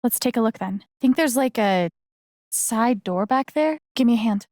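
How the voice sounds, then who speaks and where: A young woman played by a voice actress as a caring, slightly unsure video game heroine. Her voice sits fairly high and is recorded close and dry. She speaks in a casual, natural, conversational way with some hesitancy, sounding earnest throughout. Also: expressive, normal speed, a little mellow, no room sound, natural voice. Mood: thoughtful, focused. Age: young adult.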